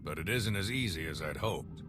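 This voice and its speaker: An actor playing a courteous, dutiful military vice admiral of a space fleet in a video game. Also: male voice, low-pitched, lively intonation, normal speed. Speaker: naval officer, fleet commander. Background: music.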